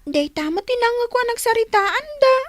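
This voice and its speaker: An earnest little Ilocano child who loves to tattle, from a village comedy radio play. The voice is extremely high and childlike. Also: female voice, expressive, normal speed, no echo. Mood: anxious, reporting.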